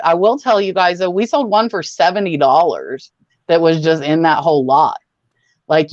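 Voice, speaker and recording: A woman's voice: deep voice, dry recording. Chatty woman host, dull dry audio. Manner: chatty, rambling, brisk and matter-of-fact. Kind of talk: casual livestream chat.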